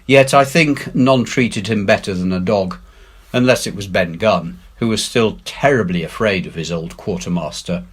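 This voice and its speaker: A man reading a classic adventure story aloud in an expressive, measured way with emphatic phrasing. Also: lowish voice, breathy edge. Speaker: head teacher reading aloud.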